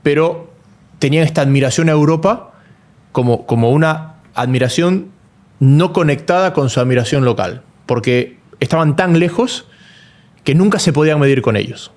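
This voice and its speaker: A man's voice: fairly high pitch, moderate pace, darkish tone. A man from Ecuador co-hosting a casual football talk show, thinking aloud with frequent restarts. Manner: thoughtful, hesitant restarts. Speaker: co-host.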